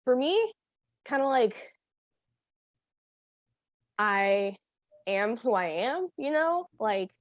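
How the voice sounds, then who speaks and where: A woman's voice: fairly high pitch, very animated, very slow, long pauses, no room sound, highs cut off. A teenage girl, a guest, chatting casually with many fillers and laughs. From United States. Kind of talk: casual chat.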